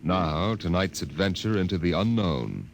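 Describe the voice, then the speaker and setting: Super deep slow radio narrator guy, old recording, lots of hiss. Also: animated, gravelly. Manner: slow, solemn.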